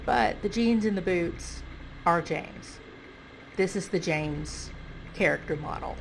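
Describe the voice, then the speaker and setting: A woman's voice: lowish voice, slow pace, slight background noise, poor audio. A woman with a stuffy nose giving casual, self-correcting commentary on a video game, thinking aloud as she goes.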